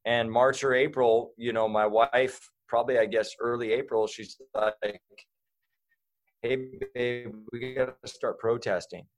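A man's voice: medium pitch, steady tone, a little slow. A man talking as a guest in a casual interview, rambling with lots of fillers and restarts.

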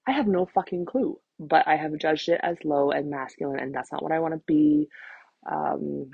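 A woman's voice: sits low, moderate pace, gravelly, slightly rough, no echo, phone-quality sound. A woman in her thirties hosting a casual solo podcast, rambling candidly and self-deprecatingly, swearing freely. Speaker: podcast host, vocal empowerment coach.

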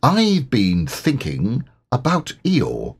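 A man voicing a cheerful, slow-witted storybook bear who talks slowly and gets muddled. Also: medium pitch, wildly swooping pitch, vocal fry, breathy edge, pitched above the performer's own voice, exaggerated voice.

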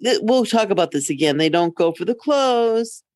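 A woman's voice: medium pitch, lively intonation, normal speed, darkish tone, no room sound, clean studio sound. A middle-aged woman podcast co-host chatting casually in a candid, rambling way. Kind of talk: casual chat.

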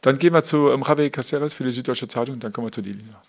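A press officer opens the press conference and hands the floor to the reporters in turn. He speaks formally and evenly, at a middle pitch, often pausing on "ähm". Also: gravelly. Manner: formal, announcing speakers, many "ähm" fillers.

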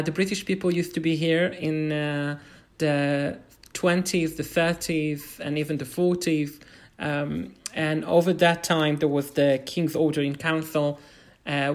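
An Israeli man speaks English with the accent of a native Hebrew speaker. As a podcast guest he explains things informally, in halting, hesitant phrases. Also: a little high, flat delivery.

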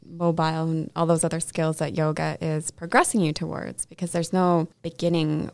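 A woman's voice: low voice, level tone, normal speed, gravelly, no room sound. A woman hosting a casual conversational interview in a playful, teasing way.

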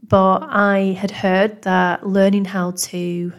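A woman in her thirties from Manchester with a Manchester accent, hosting a podcast in a gentle, reflective, unhurried way. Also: mid-range voice, little pitch movement. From England. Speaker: podcast host. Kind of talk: personal podcast monologue.

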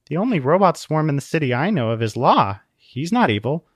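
A man's voice: on the high side, very animated, brisk, husky, dry recording, studio quality, pitched above the performer's own voice. A man voicing a haughty, easily irritated woman in an audiobook, with a prim, condescending manner. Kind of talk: audiobook character voice.

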